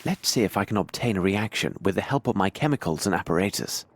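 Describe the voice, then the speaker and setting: A shrewd British gentleman detective for a video game. His low voice swings widely in pitch as he speaks with precise, imperious formality, over light background noise. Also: lively intonation. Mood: analytical, intrigued. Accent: British English. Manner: precise, formal, deliberate, theatrical. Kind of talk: dramatic video game voice acting.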